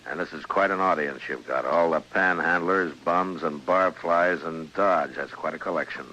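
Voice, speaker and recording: An American actor playing a stern, dryly sardonic frontier marshal in an old-time radio western, deliberate and laconic. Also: male voice, low voice, pushed and bright, highs cut off, slight background noise. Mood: dryly wry.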